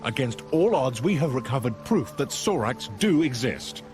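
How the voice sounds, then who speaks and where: A man plays a principled, inquisitive male adventurer in a fantasy game, formal in manner. His medium-pitched voice swings widely in intonation, with music clearly behind. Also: big pitch swings, normal speed, close to an everyday voice. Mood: earnest. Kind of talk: video game cutscene acting.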